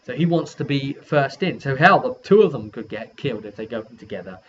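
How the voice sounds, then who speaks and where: A man casually recapping a tabletop role-playing campaign as its game master, acting out what the characters said in an animated way. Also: mid-range voice, brisk, muffled recording. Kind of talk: casual vlog storytelling.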